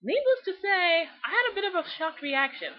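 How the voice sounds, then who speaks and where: A woman giving scripted but casual fan commentary in a blunt, slangy, opinionated way, with animated delivery. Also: extremely high pitch, brisk. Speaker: fan video series host. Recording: slightly dull lo-fi recording.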